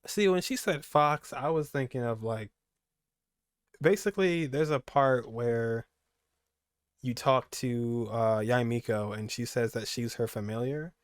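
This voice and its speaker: A man's voice: mid-range voice, measured pace, smooth, dry recording, clean studio sound. A young man giving casual, rambling reaction commentary as a gaming streamer, repeating phrases for emphasis.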